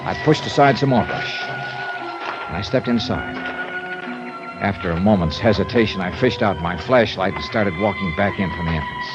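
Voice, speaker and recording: An actor playing a tough, steady American investigator, matter-of-fact and persuasive, in old-time radio drama style. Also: male voice, deep voice, moderate pace, loud music behind the voice, natural voice. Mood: hard-boiled narration. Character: tough, steady male insurance investigator hero. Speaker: lead and narrator. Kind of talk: old-time radio drama acting, first-person detective narration. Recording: muffled old radio recording.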